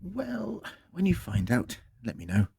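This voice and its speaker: An actor in an audio drama playing an ancient, weary, eccentric time-travelling Doctor with a dry, hesitant, theatrical delivery. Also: male voice, a little high, wildly swooping pitch, unhurried, creaky, a little mellow, husky, exaggerated voice. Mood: weary, reflective.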